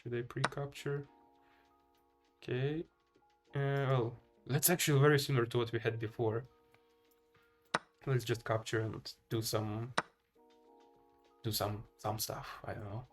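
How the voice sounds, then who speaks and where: A man's voice: mid-range voice, fairly even intonation, measured pace, pauses often, faint music behind. A man from Ukraine with a Ukrainian accent, giving casual live game commentary and chatting to viewers in a hesitant, thinking-aloud way. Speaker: live streamer playing chess.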